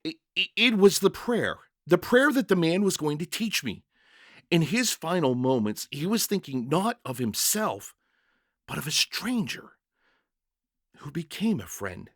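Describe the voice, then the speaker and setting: A man's voice: on the high side, sing-song, a little slow, no room sound, studio quality, pitched above the performer's own voice, exaggerated voice. A man playing a bewildered, earnest jailer who tells his story in the first person with dramatic, stammering emotion. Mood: reflective, moved. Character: young-hearted jailer narrator, bewildered, earnest, emotional father.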